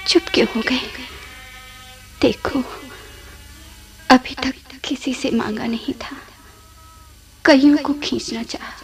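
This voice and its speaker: An actress in a radio play playing a sorrowful, seductive female ghost, pleading in slow, half-whispered phrases. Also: high-pitched, heavily acted, low-quality recording. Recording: music under the voice.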